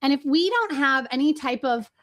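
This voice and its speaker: A woman from Chicago hosting a live marketing webinar, energetic and direct, talking fast with lots of fillers and asides to her team. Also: high-pitched. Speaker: webinar host and agency trainer.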